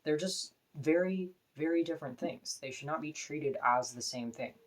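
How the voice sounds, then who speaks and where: A woman with a deep voice, talking to camera in an earnest, opinionated, plain-spoken personal vlog. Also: moderate pace. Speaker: vlogger.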